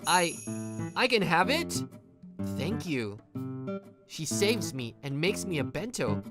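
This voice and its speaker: A woman playing a timid but loyal introverted high school boy in a manga dub, earnest and hesitant. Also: sing-song, slow, over loud music, heavily acted. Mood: nervous, grateful. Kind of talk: manga dub dialogue and first-person narration.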